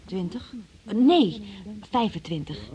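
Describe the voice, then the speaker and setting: A woman's voice: mid-range voice, big pitch swings, takes it slowly, husky, lo-fi sound, heavily acted. An actress playing a timid young female shop worker under questioning in a radio drama, hesitant, meek and defensive.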